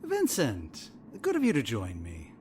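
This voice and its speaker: A man's voice: mid-range voice, wildly swooping pitch, measured pace, darkish tone, a little hiss or hum. An actor playing a smug, evasive male therapist with an arch, formal manner in an audio drama. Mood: smug, wry.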